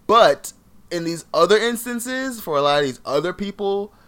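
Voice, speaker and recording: A man's voice: high-pitched, animated, normal speed, a bit bright. A man from Jamaica co-hosting a podcast, chatting casually in an emphatic way and laughing often. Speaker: podcast co-host. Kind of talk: casual chat.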